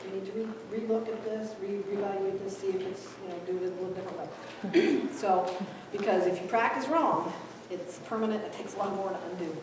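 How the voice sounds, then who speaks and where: A woman giving a live conference talk, animated and acting out quoted dialogue, who grew up in Ethiopia. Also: medium pitch, brisk, few pauses, noisy background. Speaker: conference speaker. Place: large echoey hall. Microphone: distant room mic. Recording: echoey hall from far off.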